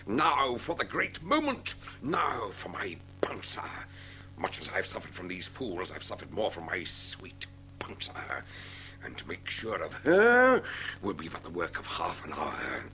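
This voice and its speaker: An actor playing a smugly vengeful villain who leads a gang, theatrical and gloating, in a dramatised audiobook. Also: male voice, sing-song, sharp tone, a little hiss or hum, exaggerated voice. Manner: theatrical, gloating, deliberate, lapsing into low muttering. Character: Abner Brown, scheming villainous male gang leader, smugly vengeful.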